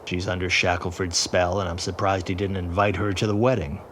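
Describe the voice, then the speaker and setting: Exasperated father, deep voice. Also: little pitch movement, fairly quick, a bit bright, a little hiss or hum. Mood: disgruntled. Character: exasperated, principled father of a grown daughter. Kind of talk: period audio drama acting.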